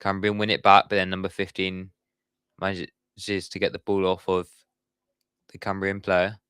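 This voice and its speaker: Deep-voiced man calling football live. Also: completely flat delivery, moderate pace, fairly bright tone, clean tone, no room sound, studio quality. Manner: chatty, talks to viewers in the chat. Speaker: watchalong streamer. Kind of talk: live football commentary on a stream.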